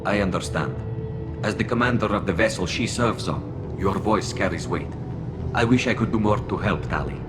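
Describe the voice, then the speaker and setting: An actor playing a courteous alien ship captain in a video game, speaking in a measured, formal manner. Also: male voice, sits low, lively intonation, loud music behind the voice. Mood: respectful. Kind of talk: scripted game dialogue.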